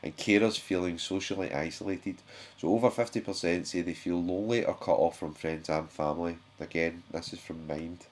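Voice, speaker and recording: A British man with a sore throat, his voice sitting very low. He talks casually and haltingly to his viewers, with frequent fillers and self-corrections. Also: fairly even intonation. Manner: chatty, halting, many fillers and restarts. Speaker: YouTube channel host. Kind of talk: casual talk to camera.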